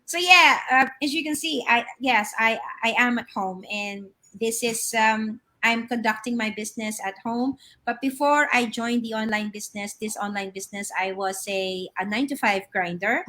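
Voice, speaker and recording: A woman's voice: on the high side, normal speed, hardly any pauses, sharp tone. A middle-aged woman from the Philippines, a guest on a live video-call interview from her home office, telling her story with many fillers and restarts.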